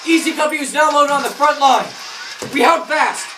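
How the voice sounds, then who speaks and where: A man's voice: very high voice, a little fast, bright, forceful tone. A man playing a dutiful, battle-tested American paratrooper officer, declaiming his memories of combat in a theatrical war drama. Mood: intense. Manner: declamatory, bellows battle orders. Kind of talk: theatrical monologue and dialogue. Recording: echoey room from far off, noisy.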